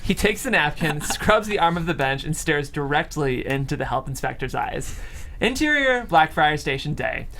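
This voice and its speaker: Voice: mid-range voice, very animated, normal speed, fairly bright tone, a little hiss or hum. A narrator reading out stage directions in a scripted style at a comedy table read.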